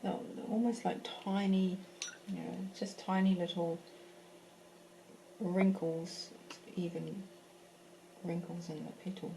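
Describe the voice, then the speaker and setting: Chatty woman teaching painting, mellow voice. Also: fairly low pitch, fairly even intonation, slow pace, gravelly, pauses often, slight background noise, rough recording. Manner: chatty, thinks aloud with fillers and restarts. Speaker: art teacher. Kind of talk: live painting class, casual talk. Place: home in a French village.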